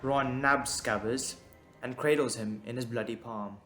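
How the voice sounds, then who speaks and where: A man's voice: medium pitch, steady tone, measured pace, bright, forceful tone. A man reading scripted dramatic narration as the narrator of an audio drama. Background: music.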